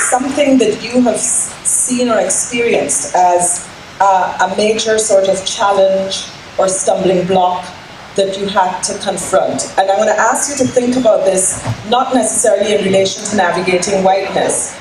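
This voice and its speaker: A woman moderating a live panel discussion in a discursive way, often circling back to rephrase her question. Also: medium pitch. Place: indoor public panel event. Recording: echoey room, far mic, noisy.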